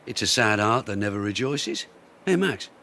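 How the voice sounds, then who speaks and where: An English actor playing a seasoned, wry police detective sergeant in a British radio drama. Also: male voice, on the low side, lively intonation, moderate pace, sharp tone, slight background noise. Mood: wry, grumbling.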